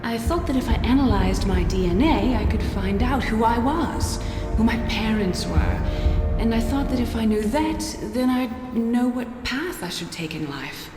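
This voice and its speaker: Long reverb surrounds an earnest woman geneticist's monologue from a video game. She is tender and resolute, speaking in a measured, solemn way that softens at phrase ends. A musical score runs clearly behind her. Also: fairly high pitch, expressive, over music. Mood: reflective, regretful.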